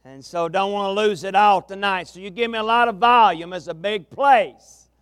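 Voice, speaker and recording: A man with a Southern US accent preaching a revival sermon in a folksy, drawn-out cadence, with some gravel in his voice, speaking into a pulpit mic in a large tabernacle. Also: high voice, fairly bright tone, no echo, clean studio sound. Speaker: pastor, guest preacher. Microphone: amplified mic at a pulpit.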